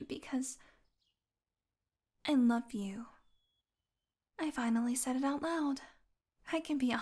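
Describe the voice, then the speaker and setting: A woman playing a possessive fantasy healer in an audio roleplay, tender and secretly bloodthirsty, speaking softly and deliberately. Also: high-pitched. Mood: lovestruck, intense. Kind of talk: intimate audio roleplay monologue.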